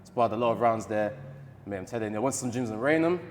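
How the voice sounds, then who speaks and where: Fast-talking young East London man. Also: fairly low pitch, animated, in a large hall, a little hiss or hum. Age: 20s. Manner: laid-back, chatty, peppers speech with "you know what I mean". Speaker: podcast guest, boxer. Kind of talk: casual chat. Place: boxing gym.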